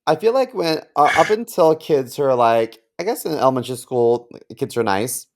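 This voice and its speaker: A man with a mid-pitched voice co-hosts a comedy podcast. He chats casually, with dry humor and a mock-boastful way of talking. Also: animated, moderate pace. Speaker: podcast co-host.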